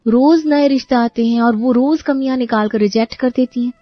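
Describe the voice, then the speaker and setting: Lady as young girlfriend in a cartoon story, earnest, high voice, close dry sound. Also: a little fast, dry recording, muffled recording, soft background music, close to an everyday voice. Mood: earnest, worried. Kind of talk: Hindi cartoon story voice acting.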